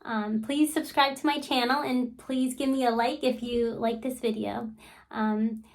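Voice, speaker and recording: A woman talking to her viewers in a relaxed, chatty vlog style. Her voice sits a little high, and she fills pauses with frequent ums and you-knows. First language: English. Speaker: channel host. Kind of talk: casual talk to camera.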